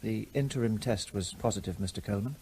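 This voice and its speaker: An actor playing a formal, polite health official in a radio drama, speaking in a measured, grave way. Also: male voice, on the low side, mellow, a little hiss or hum, close to an everyday voice. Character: formal middle-aged male health officer.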